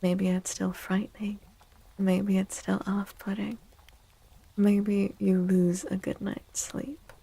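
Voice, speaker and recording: A woman streaming tarot readings in a soft ASMR style, gentle and breathy, often near a whisper with unhurried pauses. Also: medium pitch, little pitch movement, poor audio.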